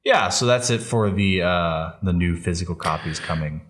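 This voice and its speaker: A man's voice: sits low, moderate pace, fairly bright tone. A man co-hosting a podcast in casual chat, talking in a rambling, hesitant way. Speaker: podcast co-host. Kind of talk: casual chat about Star Wars games and shows.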